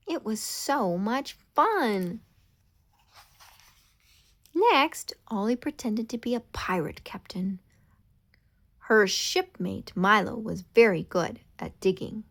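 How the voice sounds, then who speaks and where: A woman reading a children's storybook aloud in an expressive, deliberate storytelling manner. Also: medium pitch. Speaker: storybook reader.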